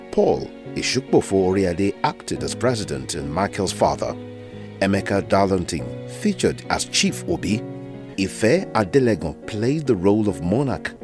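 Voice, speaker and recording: A man with a Nigerian accent narrating a radio drama in a dramatic scripted style. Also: sits low. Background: music.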